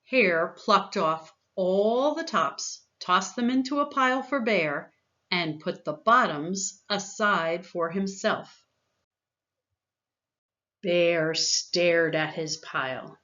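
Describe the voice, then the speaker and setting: Expressive, unhurried woman reading a storybook. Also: on the low side, muffled recording. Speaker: story reader. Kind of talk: children's storybook read-aloud.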